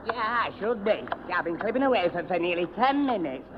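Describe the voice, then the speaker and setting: A man's voice: high voice, lively intonation, brisk. A man playing a dim, flustered, put-upon young man with a northern English accent, stammering and muddling his words in a 1970 radio sitcom. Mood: grumbling, muddled. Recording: muffled, noisy old radio recording, hall reverb.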